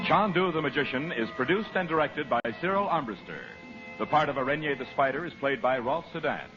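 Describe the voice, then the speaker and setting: A man's voice: on the high side, expressive, fairly quick, highs cut off. A man announcing in 1940s radio advertising style, polished and emphatic. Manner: polished, emphatic sales delivery. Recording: band-limited old radio broadcast with music. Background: music.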